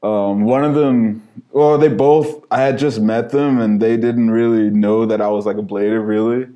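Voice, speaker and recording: A man's voice: on the low side, steady tone, moderate pace, warm tone, highs cut off. A young man from Los Angeles, a podcast guest, telling stories in loose casual chat that is full of "like" and broken by laughter. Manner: loose storyteller, heavy on "like", laughs as he talks.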